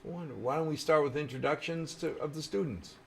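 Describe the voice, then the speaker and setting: A man's voice: fairly high pitch, quick pace, creaky, a little hiss or hum. A jovial, joking man in his sixties hosting a local talk show in a small studio. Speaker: host.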